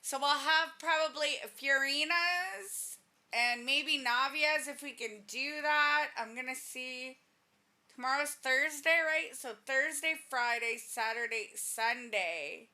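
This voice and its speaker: A woman's voice: high voice, slow, pushed and bright. A woman streamer chatting casually to her live audience while she plays a video game. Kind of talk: casual livestream chat over gameplay. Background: game music.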